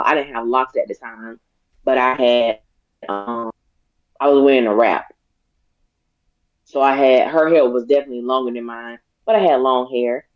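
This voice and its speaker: A raspy-voiced woman co-hosts a casual talk show, making her points deliberately and with emphasis. The recording is dry and has a dull, lo-fi sound. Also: very deep voice, dry recording. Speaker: podcast co-host. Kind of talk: casual discussion.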